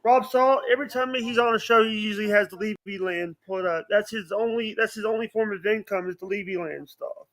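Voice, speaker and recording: High, bright-voiced man, halting talker. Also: no room sound, rough recording. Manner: halting, repeats phrases and starts over. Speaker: podcast guest. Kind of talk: casual livestream chat.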